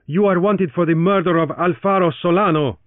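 A man's voice: sits high, brisk, no room sound, pitched above the performer's own voice, close to an everyday voice. A man voicing a stern adult man who accuses earnestly, heard through a tinny, dry narrowband recording. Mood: accusing.

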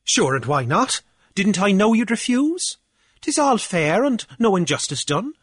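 A man's voice: sits high, sing-song, unhurried, husky, dry recording, voice pushed up, full character voice. A man voicing a pompous, drunken male beachcomber official who blusters in a lilt. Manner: bombastic, wordy, theatrical.

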